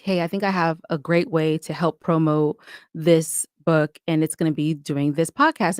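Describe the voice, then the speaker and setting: A woman's voice: low-pitched, clean tone, no room sound, studio quality. A woman giving talkative, explanatory answers as a guest in a conversational podcast interview. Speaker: podcast guest, audio drama creator.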